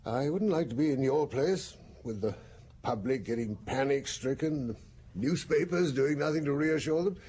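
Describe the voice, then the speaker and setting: An actor playing a thoughtful male professor and hospital director who speaks in a measured, concerned way. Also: mid-range voice, dark tone, a little hiss or hum, natural voice.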